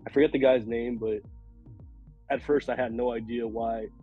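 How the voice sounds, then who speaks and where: A man with a raspy, hoarse voice from a cold, rambling casually as a podcast guest with many fillers. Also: mid-range voice, fairly even intonation, normal speed, dull audio, soft background music. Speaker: podcast guest, food illustrator.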